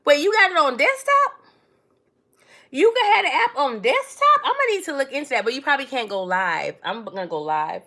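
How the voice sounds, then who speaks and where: A woman's voice: high-pitched, sing-song, brisk, bright, forceful tone. A woman, born in Trinidad and raised in New York City, chatting casually to viewers on her own livestream and calling them darling and baby. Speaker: livestream host.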